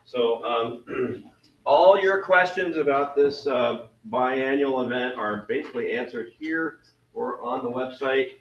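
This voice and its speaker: A man with a deep voice making rambling, self-deprecating announcements at a club meeting. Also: unhurried. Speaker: event committee member. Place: meeting room with a crowd. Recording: roomy, distant, dull meeting recording.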